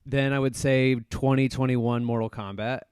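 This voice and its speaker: Clear-voiced man chatting casually on a podcast. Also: mid-range voice, fairly even intonation, normal speed, a little mellow, dry recording, studio quality. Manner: swears freely. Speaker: podcast host. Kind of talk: casual movie chat.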